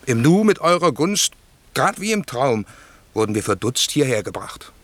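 A man's voice: mid-range voice, very animated, normal speed, a bit bright, dry recording. A man playing an amazed seaman who reports to his lord in vivid, respectful fashion in a classic radio play.

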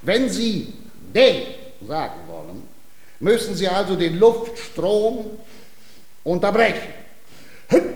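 Pedantic tutor, rather high voice. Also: male voice, sing-song, slow pace, long pauses, roomy sound, full character voice. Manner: didactic, precise, formal. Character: pedantic, patient male language tutor, self-important. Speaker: actor in a radio drama. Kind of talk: theatrical classic-comedy radio acting.

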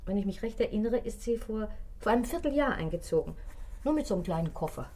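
Eine Schauspielerin spielt in einem deutschen Hörspiel eine geschwätzige, ausweichende Concierge, die zögernd und vertraulich redet. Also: female voice, medium pitch, animated, fast, warm tone, lightly acted. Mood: evasive, confiding. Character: gossipy, evasive, money-minded Parisian concierge woman. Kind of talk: German radio play acting.

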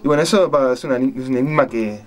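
A man with a Rioplatense Spanish accent hosting a radio show, telling a story casually and haltingly, searching for his words. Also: medium pitch.

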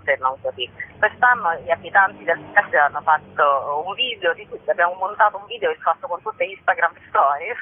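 A woman's voice: low voice, no room sound. Woman on a narrow phone line. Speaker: festival organiser, interview guest. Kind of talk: informal radio phone interview.

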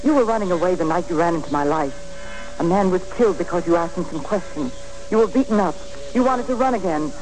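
A woman's voice: lowish voice, lively intonation, quick pace, lightly acted, rough recording. An actress playing a wry, loyal young woman in a 1940s radio drama, with a dry and cool-headed delivery. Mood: probing, troubled. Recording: old 1940s radio recording with music.

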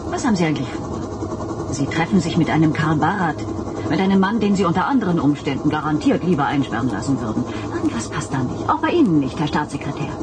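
An actress playing a skeptical, probing pilot in a radio drama, with a pointed, ironic and questioning manner. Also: female voice, low-pitched, very animated, fast. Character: skeptical, probing female pilot, former partisan. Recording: loud vehicle noise behind.

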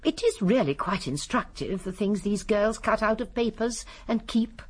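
A woman playing a shrewd, genteel elderly English lady sleuth in a radio drama, speaking primly and precisely with a gently assured manner. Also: medium pitch, expressive, normal speed, husky. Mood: assured, explaining. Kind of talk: BBC radio drama acting.

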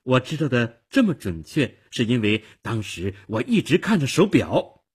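A man's voice: mid-range voice, very animated, moderate pace, slightly rough. A man voicing a confident, proudly insistent young woman giving testimony in a crime story. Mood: cheerful, certain. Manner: bright, emphatic, self-assured.

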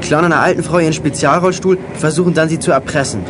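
Quick, brainy teenage boy leader played by a male. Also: fairly high pitch, steady tone, heavy background noise, close to an everyday voice. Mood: decisive. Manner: precise, organising, matter-of-fact. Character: brainy, decisive teenage boy leading young detectives. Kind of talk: German youth audio drama acting.

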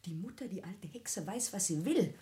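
A woman's voice: mid-range voice, animated, moderate pace, warm tone, natural voice. An actress playing a venomous, haughty upper-class woman in a classic radio play, speaking with cutting, precise emphasis. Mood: scornful, indignant.

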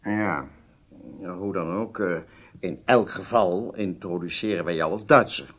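A brisk intelligence officer in a radio play. His voice is husky and fairly low. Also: a bit bright. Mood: businesslike. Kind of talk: radio drama acting.

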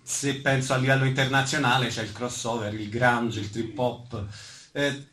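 A man interviewing live, phrasing his questions haltingly and repeating words as he goes. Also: mid-range voice, fairly even intonation, fairly bright tone. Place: live event in an arts club. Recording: roomy echo, faint background noise.

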